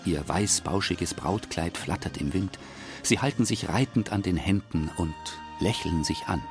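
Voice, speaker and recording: A man reading scripted narration for a radio documentary, expressive and deliberate. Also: low-pitched, over music.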